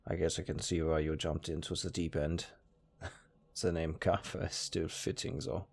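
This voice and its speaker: Man acting a chatty, creaky-voiced necromancer. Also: sits low, flat delivery, normal speed, darkish tone. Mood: casual, amused. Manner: casual, chatty, matter-of-fact about grisly work. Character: chatty, pragmatic male necromancer, former tailor. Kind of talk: intimate audio roleplay acting.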